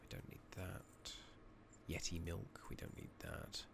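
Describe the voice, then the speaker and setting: A man giving soft-spoken, partly whispered ASMR commentary on a game, muttering and thinking out loud, recorded close on a makeshift mic. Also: deep voice, very animated, a little slow, gravelly. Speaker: game streamer narrating a playthrough. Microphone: close makeshift microphone. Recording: noisy makeshift mic with clicking.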